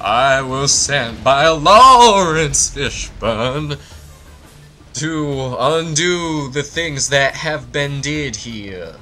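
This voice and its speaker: A man's voice: mid-range voice, lively intonation, bright, forceful tone, faint music behind, exaggerated voice. A man voicing a solemn giant machine, declaiming formally in a comic story. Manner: slow, declamatory.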